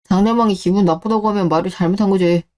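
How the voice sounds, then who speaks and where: A woman's voice: medium pitch, steady tone, quick pace, a little mellow, natural voice. A woman playing an earnest, hurt wife and daughter in a scripted radio drama. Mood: indignant.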